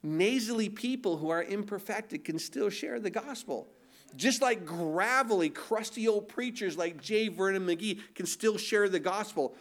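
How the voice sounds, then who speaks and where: A man's voice: sits high, measured pace, fairly bright tone. A man with a nasal voice preaching a sermon as pastor, talking conversationally and throwing in rhetorical questions.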